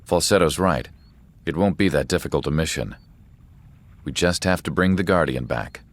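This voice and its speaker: A man's voice: rumbling low, moderate pace. A man voicing a stern, commanding rebel leader in a video game, serious and authoritative.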